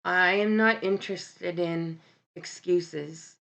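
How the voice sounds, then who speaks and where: A woman with a deep voice hosting a casual live stream, talking candidly and bluntly with many ums and self-corrections. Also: fairly even intonation, takes it slowly, gravelly, a bit bright. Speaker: livestream host. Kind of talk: casual live-stream talk about mental health.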